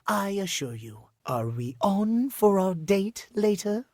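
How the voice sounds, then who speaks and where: A prim, punctual clock man from a video game, speaking slowly in formal, old-fashioned phrases. His high voice rises and falls dramatically, fussy and earnest, recorded dry and close. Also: big pitch swings, a little mellow, dry recording, exaggerated voice. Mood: earnest, polite. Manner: formal, precise, theatrical old-fashioned diction. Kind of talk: animated video game character acting.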